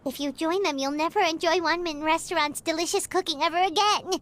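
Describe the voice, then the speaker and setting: A woman voicing a tiny, chatty, food-loving fairy companion in a video game, squeaky and cutesy. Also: a little fast, fairly bright tone, a little hiss or hum. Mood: teasing, doubtful. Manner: cutesy, speaks of herself in third person.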